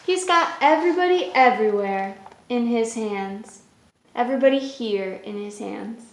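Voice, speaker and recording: High-voiced woman hosting children's story time. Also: moderate pace, a little hiss or hum. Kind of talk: children's story time, reading aloud and explaining. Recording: roomy echo with music.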